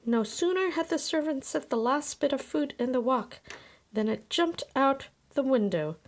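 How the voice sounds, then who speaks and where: A woman's voice: high voice, lively intonation, normal speed, a little hiss or hum. A woman reading a children's picture book aloud as scripted narration. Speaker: storybook reader.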